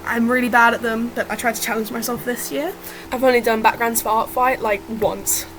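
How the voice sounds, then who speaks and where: Higher-voiced woman, slightly rough, over fan noise. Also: fairly even intonation, brisk, a bit bright, poor audio. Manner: informal, self-deprecating, chatty. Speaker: digital artist narrating own speedpaint. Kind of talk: casual unscripted art commentary. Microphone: built-in or improvised, no dedicated mic. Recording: fan noise, no proper mic. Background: whirring fan.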